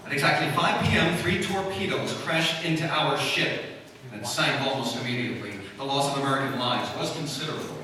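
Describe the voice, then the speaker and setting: A man's voice: mid-range voice, sharp tone, close to an everyday voice. Man as stern official, formal, roomy distant live-stage sound. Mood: grave. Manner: formal, grave, measured. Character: stern male government official, commanding. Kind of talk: live old-time radio drama reading. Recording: echoey hall, mic far off, faint noise.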